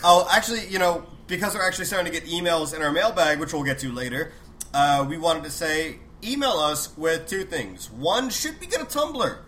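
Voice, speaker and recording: A man's voice: fairly high pitch, lively intonation, a little fast, pushed and bright, slight background noise. A man co-hosting a casual podcast, slightly sick and congested, rambling and joking about himself. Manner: rambling, jokey, self-deprecating. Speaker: podcast co-host.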